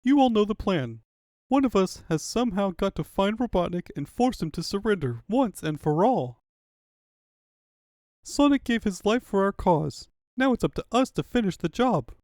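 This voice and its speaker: Determined cartoon princess played by a man; high, earnest, commanding resolve, lively inflection. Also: moderate pace, dry recording, studio quality. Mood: resolute, solemn. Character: determined young female princess, freedom fighter leader.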